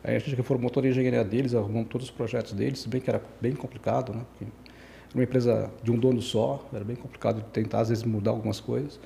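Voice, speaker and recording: Man in his 60s, podcast guest; quick, mellow, rambling delivery that keeps self-correcting. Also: mid-range voice, little pitch movement, vocal fry, slight background noise. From Brazil. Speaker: guest engineer. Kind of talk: casual podcast interview.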